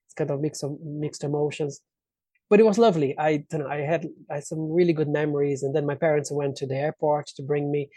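Mellow, higher-voiced Brazilian man. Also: fairly even intonation, fairly quick, breathy edge, no room sound. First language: Portuguese. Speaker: interview guest, actor. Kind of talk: casual personal storytelling in an interview.

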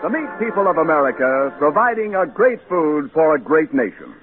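A man's voice: on the high side, sing-song, moderate pace, faint music behind. A man announcing a 1940s radio show in a declamatory, scripted style. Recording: tinny, noisy 1940s radio recording.

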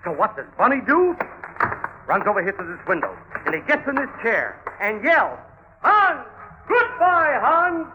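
A muffled vintage radio recording carries an excitable, gossipy prison inmate. His voice is high and swings widely, and he tells things in a halting, mirthful way. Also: background noise, exaggerated voice. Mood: excited, mirthful. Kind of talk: old-time radio drama acting.

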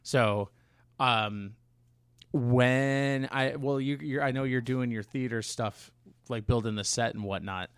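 A man speaking as a podcast co-host in casual chat, explaining things in a halting, rambling way. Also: mid-range voice, clear, resonant tone, no echo, clean studio sound. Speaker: podcast co-host and songwriting musician.

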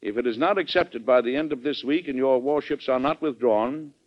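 An actor playing a resolute, blustery American president in an old-time radio drama, speaking emphatically and deliberately. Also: male voice, mid-range voice, close to an everyday voice. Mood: firm, confronting. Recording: dull old radio broadcast recording.

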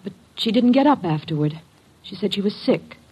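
A woman playing a brisk, reserved young lab technician in a classic radio drama, guarded and businesslike. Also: mid-range voice, lively intonation, dark tone, slightly rough, muffled recording. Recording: noisy old radio recording.

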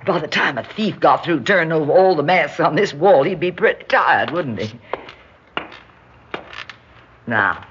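A woman's voice: deep voice, animated, unhurried, long pauses. An actress in an old-time radio drama playing a genteel, lonely shopkeeper who dotes on her cousin, fluttery and pleading in manner. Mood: generous, tender. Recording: dull noisy old radio recording.

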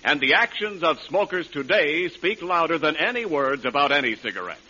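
A man's voice: fairly high pitch, very animated, normal speed, pushed and bright, slightly rough. A male announcer delivering scripted advertising narration in a polished, emphatic 1940s radio style. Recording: dull, slightly noisy old radio recording.

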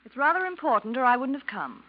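A woman's voice: high-pitched, big pitch swings, fast talker, a little hiss or hum. An actress playing a scheming, spurned young woman in a 1940s radio drama, controlled and pointed in her delivery. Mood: needy, guarded. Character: scheming, cool young femme fatale, spurned in love. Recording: muffled 1940s radio recording.

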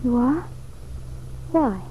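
A woman's voice: high-pitched, animated, very slow, breathy edge, exaggerated voice. An actress playing a fragile, lovesick young wife in a vintage radio soap opera, plaintive and hesitant in her speech. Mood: anxious, defensive. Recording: muffled, faintly noisy vintage radio recording.

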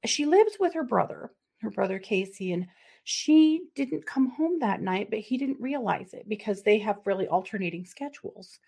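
Woman, middle-aged, podcast co-host, chatty, lots of fillers, medium pitch. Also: lively intonation, normal speed, gravelly, mellow, breathy edge. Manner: chatty, laughing asides, frequent fillers. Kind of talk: casual chat and true crime case telling.

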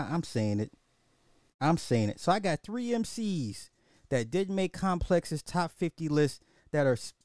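A man's voice: fairly high pitch, dry recording. Casual guy podcaster, slangy, very up and down delivery, repeats himself a lot, close dry sound. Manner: thinks aloud, repeats his phrases; informal, slangy. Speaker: podcast host. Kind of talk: casual opinionated social commentary.

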